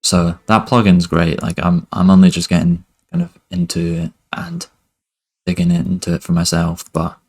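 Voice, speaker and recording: A young man giving a relaxed music production tutorial at his desk, talking loosely with lots of fillers. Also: sits low, moderate pace, slightly rough. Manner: relaxed, many fillers like "kind of" and "basically". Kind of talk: casual screen-recorded tutorial.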